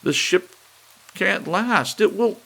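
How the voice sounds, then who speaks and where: Man acting a high-strung, pleading cowardly swindler. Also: lively intonation, slow, vocal fry, fairly bright tone, full character voice. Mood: despairing. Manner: pleading, tearful, agitated. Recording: faint hiss under the voice.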